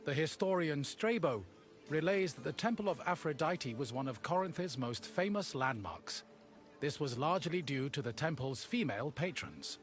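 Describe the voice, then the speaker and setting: A man giving measured, scripted educational narration about history. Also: a little high, lively intonation, over music. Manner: measured, informative. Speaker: documentary narrator.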